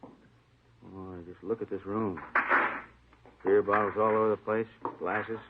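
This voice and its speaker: Fussy store boss, lowish voice, emphatic, old radio drama, super tinny phone-like sound. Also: male voice, little pitch movement, takes it slowly, heavy background noise. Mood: disapproving, indignant. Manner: officious, emphatic, repeats himself. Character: fussy, disapproving male store boss, talkative with police. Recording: tinny, narrow-band old radio recording.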